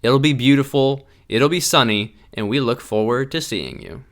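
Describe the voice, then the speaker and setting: A man's voice: medium pitch, moderate pace. A man reading a scripted promotional announcement as the announcer for a camp.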